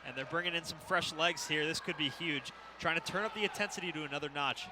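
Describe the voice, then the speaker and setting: Fast-talking male color commentator. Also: on the high side. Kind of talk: live game analysis. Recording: noisy arena ambience behind commentary.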